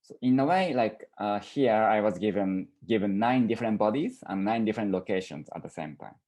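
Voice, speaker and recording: Low-voiced man, halting artist talk. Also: expressive, a little mellow. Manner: halting, searching for words. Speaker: artist presenting own work.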